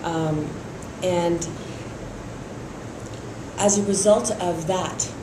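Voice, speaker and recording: A woman's voice: fairly low pitch, fairly even intonation, extremely slow, reverberant, background noise. A middle-aged woman from Iran, raised in San Francisco, speaking steadily as a district attorney candidate in an interview, with frequent fillers. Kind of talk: endorsement interview.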